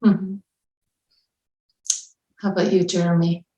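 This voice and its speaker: Woman moderator, unhurried delivery in an echoey room. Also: fairly low pitch, little pitch movement, mellow, lo-fi sound. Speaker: panel host. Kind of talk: moderating a livestream panel.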